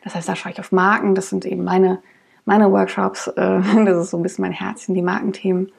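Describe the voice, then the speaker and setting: Stuffy-nosed woman with a husky voice. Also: medium pitch, level tone, brisk, a little mellow. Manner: chatty, many ums and restarts. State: stuffy nose from a cold. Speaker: podcast host. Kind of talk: solo podcast monologue.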